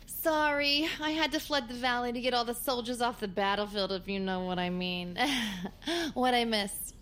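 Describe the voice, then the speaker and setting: A woman's voice: fairly high pitch, moderate pace, a bit bright, a little hiss or hum, natural voice. An actress playing a brash, blunt young woman in an audio comedy drama, with a breezy delivery.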